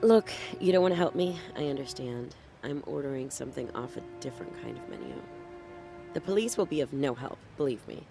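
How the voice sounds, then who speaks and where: Rich: a troubled young woman, guarded and desperate under a cool, wry surface. Her voice moves widely in pitch, and music plays beneath her. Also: sing-song, brisk, creaky, heavily acted. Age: young adult. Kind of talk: noir audio drama acting.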